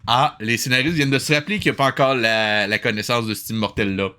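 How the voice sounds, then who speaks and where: A man's voice: medium pitch, fairly even intonation, fast talker, pushed and bright, clear, resonant tone. A man with a Québécois accent doing sarcastic, exasperated comedic commentary as a review host.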